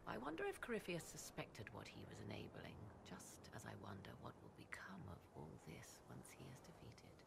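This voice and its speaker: An actress playing a proud, enigmatic sorceress in a video game, speaking in theatrical, archaic and formal phrases. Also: female voice, very deep voice, wildly swooping pitch, moderate pace, gravelly, hardly any pauses, whispered, exaggerated voice. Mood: measured, cautionary. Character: proud, enigmatic apostate sorceress, arcane advisor. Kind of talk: video game dialogue acting.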